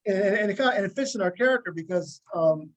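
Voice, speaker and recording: A man hosting a casual interview in a halting way, often repeating his words. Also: high-pitched, animated, lo-fi sound. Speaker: interview host.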